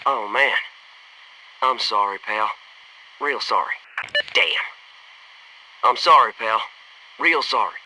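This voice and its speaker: An actor playing a brash, wisecracking American military commando in a video game, with clipped, gung-ho tactical talk and wry slang. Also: male voice, fairly low pitch, takes it slowly, long pauses, distant mic, heavy background noise. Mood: subdued, earnest. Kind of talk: video game voice acting, tactical battlefield barks.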